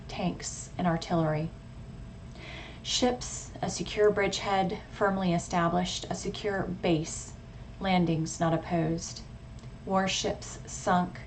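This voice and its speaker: Low-voiced woman, noisy recording. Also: darkish tone. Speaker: radio hobbyist vlogger and poet. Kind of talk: casual informative vlog talk with poetry reading. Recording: clearly audible background noise.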